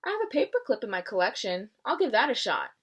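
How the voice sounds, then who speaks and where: A woman's voice: a little high, very animated, fast, slightly rough, muffled recording. A woman voicing a clever, grumbling female crow in a storybook, with a dry, sulky manner.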